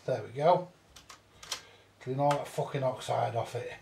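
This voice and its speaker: A man's voice: medium pitch, measured pace, gravelly, darkish tone, a little hiss or hum. A British man giving a casual, hands-on repair commentary, muttering to himself and swearing now and then as he works. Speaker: vintage audio repairer. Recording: roomy echo, distant mic.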